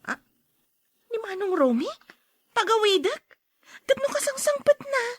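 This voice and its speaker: A woman's voice: extremely high pitch, sing-song, unhurried, no echo, studio quality, exaggerated voice. A woman playing a chatty, teasing young niece in a Philippine radio drama, playful and nagging. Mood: pleading.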